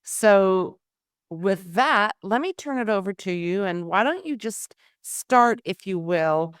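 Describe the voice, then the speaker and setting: A middle-aged woman hosting a podcast, speaking in measured, deliberate, emphatic phrases as she introduces and questions. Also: mid-range voice, animated, clear, resonant tone, dry recording, studio quality. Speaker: podcast host and interviewer. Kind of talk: scripted introduction and formal interview questions.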